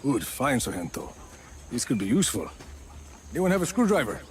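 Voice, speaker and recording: A man playing a cool, gruff, reluctant action hero in a video game, laconic and understated. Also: medium pitch, big pitch swings, brisk, slight background noise, close to an everyday voice. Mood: matter-of-fact. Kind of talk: video game cutscene acting.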